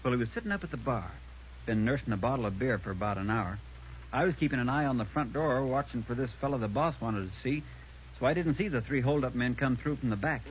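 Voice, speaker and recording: An actor playing a plainspoken, earnest bar worker giving a witness account in a 1950s radio drama. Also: male voice, medium pitch, fast, natural voice. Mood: matter-of-fact. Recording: dull, noisy old radio recording.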